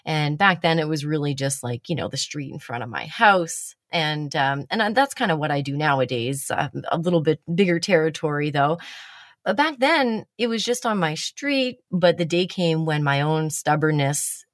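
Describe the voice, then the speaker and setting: A woman's voice: low voice, animated, moderate pace, no echo, clean studio sound. A woman hosting a casual chat, telling stories about herself with blunt, self-mocking humor and the odd swear word.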